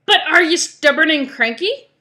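A woman's voice: high-pitched, expressive, moderate pace, bright, forceful tone, pitched above the performer's own voice. A woman voicing a cranky, blustery male pirate captain who bellows in pirate slang for a storybook. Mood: gruffly demanding. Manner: bellowing, rhyming pirate slang with bad grammar.

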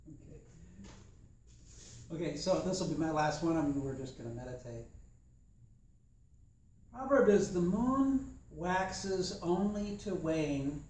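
A young man teaching a tai chi class in a dojo while he demonstrates, talking in a relaxed, thoughtful way. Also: a little high, takes it slowly, long pauses, slight background noise. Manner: relaxed, thoughtful, chatty with fillers. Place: large empty dojo with mat floor. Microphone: far camera. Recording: echoey room from a distance.

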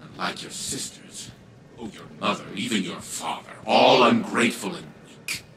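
An actor playing a proud, cold old patriarch turned giant AI in a video game, pompous, theatrical and condescending. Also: male voice, fairly low pitch, moderate pace, fairly bright tone, a little hiss or hum. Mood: indignant. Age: older. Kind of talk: dramatic video game acting.

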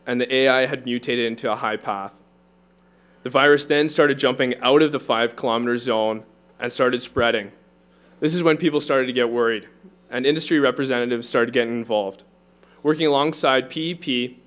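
A young man delivers a prepared talk at a conference lectern, reading steadily from his notes. His voice sits in an ordinary middle pitch and carries through a lectern microphone in a large hall. The manner stays earnest and measured. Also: fairly bright tone, clear, resonant tone. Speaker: conference speaker, poultry farmer.

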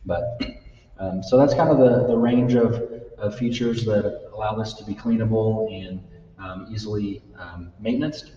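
A young man giving a casual live product demo, halting with many ums and uhs. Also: fairly low pitch, fairly even intonation, mellow. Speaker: product demonstrator. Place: large trade-show style hall, indoors. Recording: distant echoey hall, dull sound.

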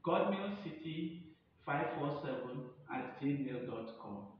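A very echoey, distant recording with a faint rumble. A man acts as a chatty male receptionist with a slightly high voice, eagerly recommending in a promotional skit. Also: lively intonation, takes it slowly. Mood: eager, helpful. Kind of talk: acted promotional dialogue. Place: indoors, tiled front room. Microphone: distant camera or phone across the room. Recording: echoey room, distant dull mic, rumbling noise.

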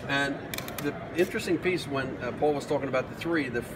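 A middle-aged man talks casually and enthusiastically, like an interviewer showing off gear. He is recorded a little way from the mic at an indoor trade show, with clear background noise around him. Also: medium pitch, brisk. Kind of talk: casual product demo interview. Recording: noisy trade show floor, distant mic.

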